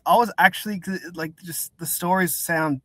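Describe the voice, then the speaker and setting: A man's voice: sits high, fairly even intonation, normal speed, pushed and bright, no room sound. A young man hosting a podcast who speaks earnestly and rambles, with many restarts. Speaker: podcast host. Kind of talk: casual interview chat.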